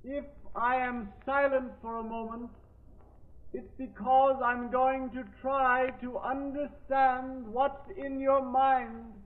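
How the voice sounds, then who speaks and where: An actor playing a solemn psychic in a séance trance, in hushed, incantatory old radio drama style. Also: male voice, very high-pitched, level tone, slow. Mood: entranced. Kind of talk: 1940s radio drama acting. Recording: narrow, muffled old radio recording.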